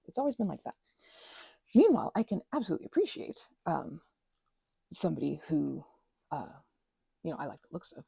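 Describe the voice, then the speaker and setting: A woman hosting an ASMR channel, rambling in a chatty, giggly way with lots of fillers and a breathy voice that slips into whispers. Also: sits low, sing-song, fairly quick, long pauses, dark tone, no echo. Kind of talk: soft-spoken rambling chat.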